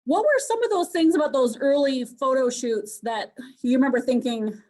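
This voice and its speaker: Lady host on a shaky video call, kinda high voice, long encouraging questions. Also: moderate pace, a little mellow. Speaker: interviewer, host. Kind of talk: career interview questions. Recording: video call with unstable connection.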